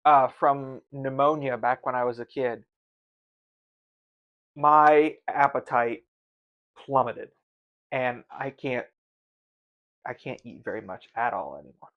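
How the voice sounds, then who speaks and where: Man, medium pitch, casual livestream chat, halting, repetitive phrasing, grumbling. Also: dull audio. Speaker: streamer. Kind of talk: casual chat while tasting food on a livestream.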